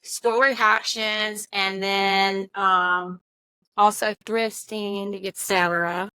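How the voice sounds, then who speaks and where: A woman's voice: medium pitch, flat delivery, slow, pushed and bright, clean tone, dry recording, rough recording. A woman in her sixties, a podcast co-host, chatting casually and rambling a little, laughing often. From Virginia. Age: 60s. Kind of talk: casual interview chat.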